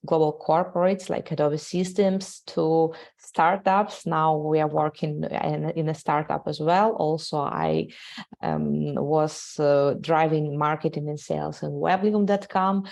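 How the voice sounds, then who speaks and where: Ukrainian-accented woman hosting a webinar, gravelly. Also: low voice, steady tone, a little mellow. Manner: halting, many "uh" fillers, non-native grammar. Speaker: webinar host, sales executive. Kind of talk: live webinar presentation.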